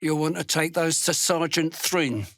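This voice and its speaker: Gravelly, menacing dark dwarf slave hunter. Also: male voice, fairly high pitch, fairly even intonation, a little slow, no echo. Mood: gruff, curt. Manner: curt, threatening, contemptuous. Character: gruff, menacing duergar slave hunter, fanatical cultist. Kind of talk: video game character voice lines.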